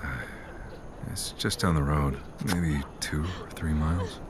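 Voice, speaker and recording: A man playing a subdued, halting, unsure young character who just lost a friend, in a naturalistic audio drama. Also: very deep voice, steady tone, crowd noise. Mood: dazed, hesitant.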